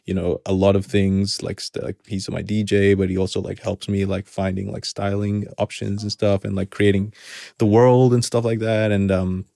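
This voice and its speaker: A man's voice: fairly low pitch, little pitch movement, moderate pace, creaky, mellow, no echo, studio quality. A young man with a hybrid accent mixing Arabic, New Zealand and American sounds, talking casually and rambling in an interview with many restarts. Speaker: hip hop artist, guest.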